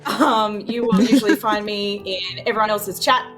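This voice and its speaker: A woman's voice: mid-range voice, fairly even intonation, normal speed, soft background music. A woman player introducing herself with teasing, mock-formal humor. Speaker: streamer and player. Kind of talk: casual chat.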